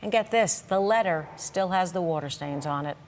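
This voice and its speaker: A woman's voice: fairly low pitch, moderate pace. An American woman anchoring a live local newscast in an informal, chatty way. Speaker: TV news anchor.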